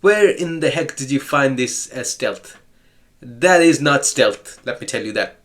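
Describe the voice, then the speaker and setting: A man's voice: mid-range voice, lively intonation, unhurried, fairly bright tone. A man casually commenting while playing a visual novel, playful and sarcastic, joking back at the story text.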